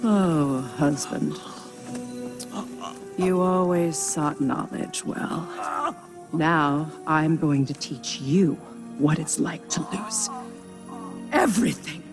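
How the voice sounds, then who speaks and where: An actress playing a proud, vengeful Norse goddess queen in a dramatic video game, measured, regal and menacing. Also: deep voice. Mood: defiant, commanding. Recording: loud music behind the voice. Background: dramatic game score.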